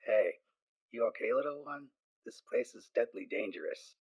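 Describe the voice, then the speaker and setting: A man voicing a weathered female ghoul pony soldier, raspy and gruff but gentle, in an audio drama. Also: medium pitch, expressive, normal speed, no room sound, rough recording. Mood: concerned. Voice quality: raspy, husky.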